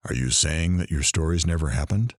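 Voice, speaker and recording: A man playing a weary, reflective radio talk show host in an audio drama, speaking in a solemn, measured, theatrical way. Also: very deep voice, dry recording, studio quality.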